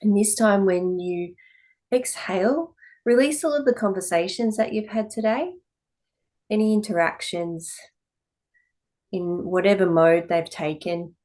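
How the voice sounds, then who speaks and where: A woman teaching yoga, giving calm, measured guided meditation instruction in short phrases with pauses between them. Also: mid-range voice.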